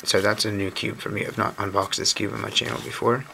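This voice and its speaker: Hoarse male vlogger, very low gravelly voice, relaxed and unsure, home camera audio with a noisy background. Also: fast talker. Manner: relaxed, thinking aloud with mild uncertainty. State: hoarse, recovering from lost voice. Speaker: cube channel host. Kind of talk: casual unboxing commentary. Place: indoors at a wooden table. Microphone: camera mic on a tabletop shot of hands.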